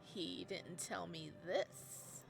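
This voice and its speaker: A woman voicing a malicious male shadow creature from a game story, with a low, raspy voice and a slow, menacing drawl. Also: music in the background, full character voice.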